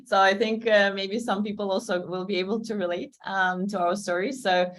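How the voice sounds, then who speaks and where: A woman's voice: mid-range voice, steady tone, moderate pace, fairly bright tone. A woman from Ukraine, a recruiter sharing her career path in a webinar, chatty and laughing often. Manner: friendly, laughs often, fillers. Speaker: guest recruiter. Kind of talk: webinar conversation.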